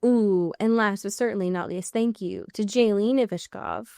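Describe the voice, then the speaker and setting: An American woman co-hosting a podcast in casual, comedic chat, riffing with mock exasperation and drawn-out emphasis. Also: mid-range voice, dark tone, dry recording, clean studio sound. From US Pacific Northwest. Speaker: podcast co-host.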